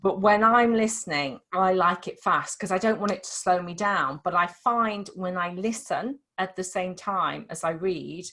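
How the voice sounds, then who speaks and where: A woman's voice: on the low side, moderate pace, hardly any pauses, sharp tone. A middle-aged woman from Liverpool with a slight Scouse accent, casually tutoring on screen with lots of restarts. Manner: chatty, demonstrative, many restarts. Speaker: dyslexia tutor.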